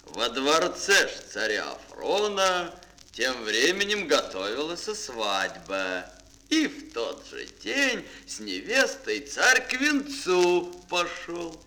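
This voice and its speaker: A male storyteller performs a fairy tale for children with theatrical flair. His fairly high voice moves slowly and lingers dramatically on key words, swooping widely in pitch. Music plays behind him. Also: huge pitch swings, sharp tone. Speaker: storyteller, narrator.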